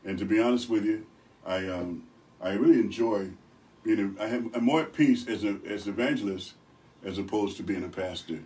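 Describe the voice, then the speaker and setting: An older man from Rochester, New York with a deep voice, speaking as an evangelist guest in a radio studio, telling stories with good humor. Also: brisk, darkish tone. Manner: good-humored, rambling storyteller. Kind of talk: radio interview. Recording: distant from the mic.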